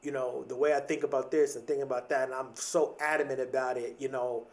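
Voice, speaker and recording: This is a man in his late thirties with an average-pitched voice. He speaks as a podcast host in a casual, motivational monologue. His delivery is conversational and reflective, leaning on repeated phrases to drive things home. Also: level tone, fairly quick, darkish tone. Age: late 30s. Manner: reflective, conversational, emphatic repetition.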